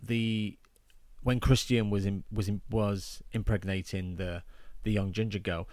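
Low-voiced man trading dry film quips. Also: steady tone, measured pace, darkish tone, dry recording. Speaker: film podcast co-host. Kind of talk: casual film discussion.

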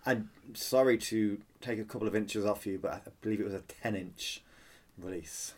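A man's voice: lowish voice, moderate pace, darkish tone. A man co-hosting a podcast, chatting enthusiastically about pop songs with playful asides and frequent hesitations. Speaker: podcast co-host. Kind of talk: casual chat reviewing an album.

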